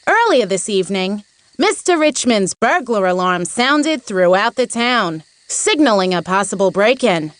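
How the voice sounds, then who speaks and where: A woman voicing a brisk live news reporter in a cartoon, with a crisp announcer-like delivery. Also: mid-range voice, very animated, no room sound. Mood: professional, urgent.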